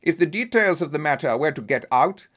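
Man putting on a booming, pompous German-accented nobleman. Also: animated, fairly quick, a bit bright. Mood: secretive, urgent. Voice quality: deep, booming. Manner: pompous, formal, grave. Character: huge, pompous masked Bohemian nobleman, secretive.

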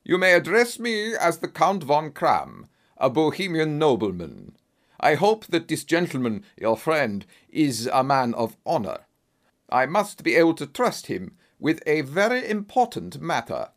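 A man voicing a huge, secretive masked nobleman in a storybook, with a German accent and a booming, pompous, formal delivery. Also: sing-song, unhurried. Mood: grave, commanding. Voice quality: deep, booming.

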